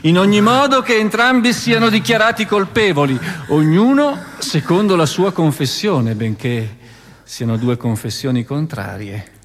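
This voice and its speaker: A man playing a stern judge of an old inquisition in a stage drama, speaking in a grave, deliberate manner. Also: high voice, lightly acted. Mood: cold, calculating. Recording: reverberant stage hall with music.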